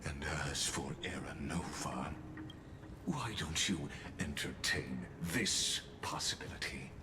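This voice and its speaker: Very deep, drawn-out arrogant villain. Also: male voice, lively intonation, background noise, exaggerated voice. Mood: scornful menace. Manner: slow, deliberate, menacing, grandiloquent. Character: cold, arrogant male villain, a godlike scheming intellect. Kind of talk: video game voice acting, theatrical villain monologue. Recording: echoing game voice under music.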